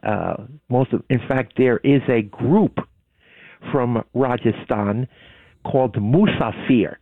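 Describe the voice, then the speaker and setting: Man, guest, gravelly voice, phone-line sound, explanatory, informative. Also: mid-range voice, takes it slowly, dry recording. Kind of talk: casual conversation.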